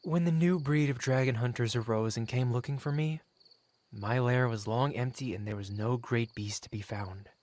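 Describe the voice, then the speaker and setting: A man's voice: mid-range voice, normal speed, darkish tone, natural voice. A man playing a wealthy, covetous dragon in human form, speaking eloquently and formally in a theatrical ASMR roleplay monologue. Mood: proud, reminiscing. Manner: eloquent, formal, courtly, self-satisfied.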